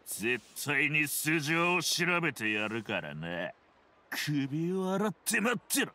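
High, blustering old swindler. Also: male voice, big pitch swings, slow pace, bright, forceful tone, full character voice. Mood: menacing. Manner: menacing, rough-spoken. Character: blustering, threatening elderly male swindler. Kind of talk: Japanese game voice acting.

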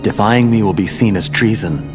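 A man's voice: lowish voice, moderate pace, close to an everyday voice. A man playing a composed, commanding villain lord in a game cutscene, smug, formal and menacing. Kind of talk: video game cutscene acting. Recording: scary music under the voice.

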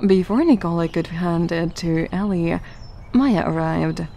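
A woman's voice: lowish voice, measured pace, dark tone, a little hiss or hum. A woman giving scripted third-person narration for an audio drama.

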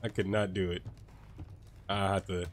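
A man's voice: sits low, little pitch movement, moderate pace. A young man giving casual, rambling gameplay commentary from his room, slightly stuffy from a cold and dropping "bro" and "like" often. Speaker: gameplay streamer. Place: his own room, lights off.

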